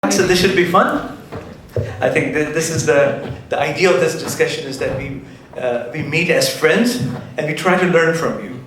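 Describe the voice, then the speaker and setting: A man's voice: fairly high pitch, a bit bright. Guy moderating a panel, stops and restarts a lot, big echoey hall, bit of hiss. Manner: halting, many restarts and fillers. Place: community event hall. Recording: very echoey hall with some noise.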